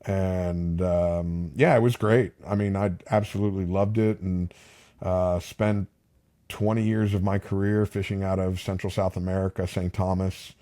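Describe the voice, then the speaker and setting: A middle-aged man, a native Spanish speaker, tells stories in a slightly low, easygoing voice. He rambles a little, with plenty of uhs and restarts, like a casual podcast guest. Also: a little slow. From Palm Beach County, Florida. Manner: relaxed, chatty, lots of fillers and restarts. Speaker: podcast guest, fishing captain. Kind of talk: casual interview storytelling.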